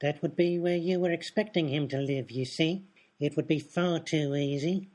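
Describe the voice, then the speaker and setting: An actor playing a calm, cagey criminal who speaks matter-of-factly in a comedic radio play. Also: male voice, a little high, moderate pace, close to an everyday voice. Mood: calm, explanatory. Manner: unruffled, matter-of-fact, cagey. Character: calm, cagey mystery man confessing to murder.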